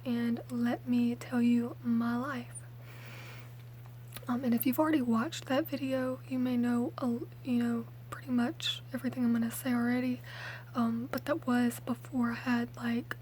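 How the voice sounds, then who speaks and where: A young woman, speaking softly and often whispering in a chatty, hesitant ASMR storytelling style. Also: on the high side, a little hiss or hum, low-quality recording. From Mississippi, USA. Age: late teens. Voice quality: soft, hushed, often whispered. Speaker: ASMR video creator. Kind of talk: ASMR, rambling personal storytelling.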